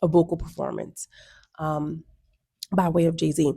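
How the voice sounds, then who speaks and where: A woman's voice: low voice, level tone, a little slow, gravelly, dark tone, husky. A woman in her thirties giving blunt, sassy music commentary for her video channel, with lots of ums and asides. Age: 30s. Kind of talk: casual opinion commentary.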